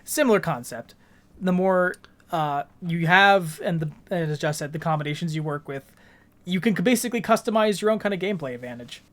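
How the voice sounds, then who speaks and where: A man's voice: on the high side, lively intonation, fairly quick, a bit bright. A man hosting a podcast, explaining a video game in a casual, articulate way and steering the discussion. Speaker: podcast host.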